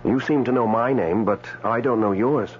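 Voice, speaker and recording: An actor playing an indignant, newly dead man in a radio drama, speaking with hesitant stammers and dramatic pauses. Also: medium pitch, close to an everyday voice. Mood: pleading. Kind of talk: radio drama acting with first-person narration. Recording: dull radio broadcast, faint mains hum.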